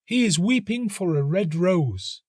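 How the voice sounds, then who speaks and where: A man's voice: high-pitched, animated, a little slow, darkish tone, no echo, studio quality, pitched above the performer's own voice. A man voicing a tender female nightingale in a storybook, earnest and romantic, with a lyrical, wondering delivery. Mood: explaining. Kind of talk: storybook reading.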